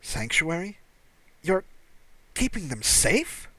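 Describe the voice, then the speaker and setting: A man voicing a flustered, indignant young male alien security officer who protests haltingly in an audiobook. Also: fairly high pitch, sing-song, pushed and bright, dry recording, pitched above the performer's own voice, exaggerated voice. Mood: alarmed, incredulous. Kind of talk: audiobook character dialogue.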